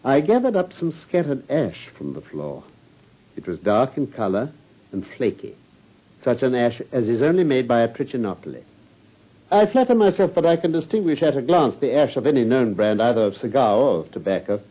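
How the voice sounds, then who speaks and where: A man's voice: medium pitch, big pitch swings, brisk. An actor playing a brilliant, self-assured Victorian detective who explains his deductions in a confident, lecturing manner, in a radio drama. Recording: dull lo-fi radio sound.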